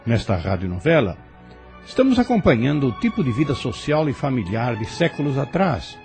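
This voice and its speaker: A man with a Brazilian accent reading scripted spiritual commentary in a measured, didactic way. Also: medium pitch. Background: soft music.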